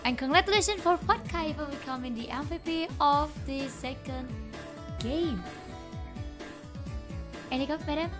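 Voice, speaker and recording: A woman's voice: high voice, normal speed, long pauses. A woman with an Indonesian accent commentates a game live, chatting playfully and informally with viewers and often repeating her phrases. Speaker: esports livestream caster. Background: music.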